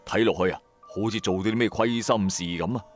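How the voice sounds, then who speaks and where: A man's voice: lowish voice, fairly even intonation, fast talker, soft background music. A man narrating a supernatural radio tale in colloquial Cantonese, suspenseful and full of rhetorical questions. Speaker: storyteller. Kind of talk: animated colloquial ghost-story narration.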